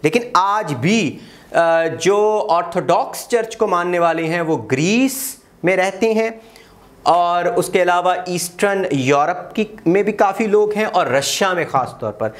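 A man gives an exam-prep history lecture. He gestures a lot, repeats key dates and often pauses with "uh". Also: high-pitched. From Pakistan. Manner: animated, gestures widely, repeats key dates, frequent "uh" fillers. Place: small room in front of a whiteboard. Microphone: lapel mic.

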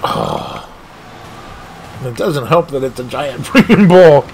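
Excitable man narrating his own gameplay. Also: mid-range voice, slow pace, gravelly, noisy background. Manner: animated, exclaiming, frustrated at missed shots. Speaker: gaming streamer. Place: home gaming room.

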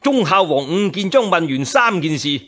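A man's voice: high-pitched, very animated, moderate pace, fairly bright tone. A man with a Cantonese accent narrating a historical tale as a dramatic storyteller.

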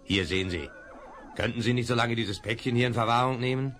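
A man's voice: mid-range voice, brisk, a bit bright, a little hiss or hum, lightly acted. A man playing an ingratiating old cattle dealer. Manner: ingratiating, persuasive. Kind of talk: 1970s radio play acting.

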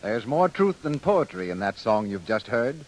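A man's voice: fairly low pitch, big pitch swings, normal speed, over loud music. An American man announcing from a script in polished 1930s radio style.

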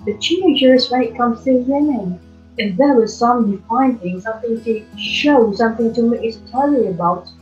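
A woman's voice: fairly high pitch, normal speed, a little mellow, faint music behind, close to an everyday voice. A young woman playing a condescending male county attorney in an amateur stage drama, hesitating with frequent ums. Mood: businesslike. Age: young adult. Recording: echoey, dull webcam audio.

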